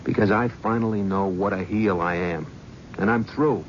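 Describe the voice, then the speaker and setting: An actor playing a remorseful middle-aged talent agent in a 1970s radio drama, earnest and emotional. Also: male voice, lowish voice, moderate pace, natural voice. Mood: resolute. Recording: muffled old radio recording with hiss.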